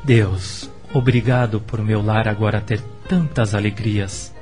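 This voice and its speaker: An actor with a Brazilian accent playing a kind, devout middle-aged husband, tender and measured, in a radio soap opera. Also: male voice, fairly low pitch, fairly even intonation, a little mellow, natural voice. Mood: prayerful. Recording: music under the voice.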